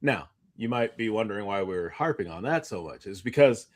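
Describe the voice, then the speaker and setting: Animated, rambling man chatting casually. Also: fairly low pitch, brisk. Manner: animated, rambling, stumbling over repeated starts. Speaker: podcast co-host. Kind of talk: casual chat, recounting anecdotes.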